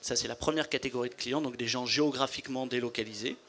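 A man in his forties, a company founder, explaining his business in a measured way into a handheld mic at a trade fair studio booth. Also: mid-range voice, fairly even intonation, fairly bright tone, slight background noise.

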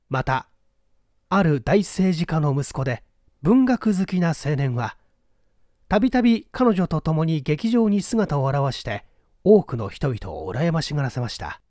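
A man reading a mystery story aloud as literary narration, in a measured, polite storytelling manner. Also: fairly high pitch, a little mellow, no echo, studio quality. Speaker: audiobook reader.

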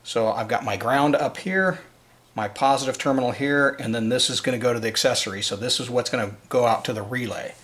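Guy doing a diy how-to at his table, medium pitch, just explaining stuff step by step off the cuff. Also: fairly quick, a bit bright. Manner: informal, unscripted, explanatory. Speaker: presenter of a DIY wiring tutorial. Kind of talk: casual step-by-step hands-on tutorial. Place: indoors at a work table in a room.

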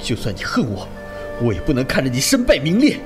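Earnest young swordsman played by a man, very expressive. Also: medium pitch, fairly quick. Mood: stern, insistent. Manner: earnest, righteous. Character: upright, stern, protective young swordsman, older martial brother. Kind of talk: wuxia audio drama acting. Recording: music under the voice.

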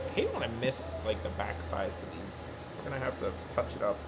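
Man in his thirties, rambling casual chat, lively pitch, air-conditioner hum in the background. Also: a little fast. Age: 30s. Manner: rambling, chatty, trails off and self-corrects. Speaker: streamer. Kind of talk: casual chat with live chat while crafting. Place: workshop room with a worktable.

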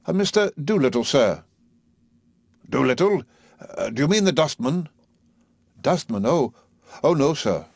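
A man's voice: fairly high pitch, big pitch swings, a little slow, vocal fry, long pauses, dry recording, studio quality, pitched above the performer's own voice, heavily acted. A man doing a timid, polite parlour maid. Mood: deferential. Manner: polite, deferential.

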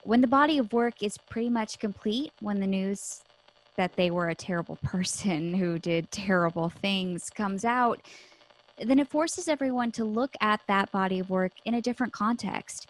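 A woman's voice: medium pitch, normal speed, natural voice, rough recording. A woman acting in a radio drama as a candid, thoughtful, bookish co-host. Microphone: close studio mic on boom arm.

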